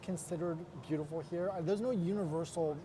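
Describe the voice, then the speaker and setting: A man from the Los Angeles area hosting a casual interview, talking earnestly and haltingly with restarts. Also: a little high, background noise. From United States, Los Angeles area. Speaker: host, interviewer.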